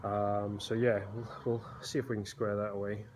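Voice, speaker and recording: A man's voice: lowish voice, moderate pace. A man in his thirties walking through his workshop, filming himself on a phone held at arm's length and talking casually with many fillers. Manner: rambling and relaxed, many fillers and restarts. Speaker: blacksmith vlogger. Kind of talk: casual phone video walkthrough. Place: indoor workshop with concrete walls. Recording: noisy workshop phone recording.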